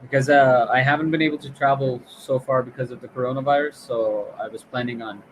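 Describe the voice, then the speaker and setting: A man's voice: mid-range voice, steady tone. A Pakistani man with a mid-range voice chats casually on a video call. He speaks in an easy, friendly way and often repeats a word as he restarts. Faint hiss sits under his voice. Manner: quick, chatty, repeats words when restarting. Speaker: online English tutor.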